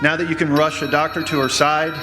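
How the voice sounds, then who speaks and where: A man playing a calm, moralizing ambulance partner in an old-time radio drama, with measured, formal phrasing. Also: on the high side, noisy background, natural voice. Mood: probing, insistent. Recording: echoey hall stage recording.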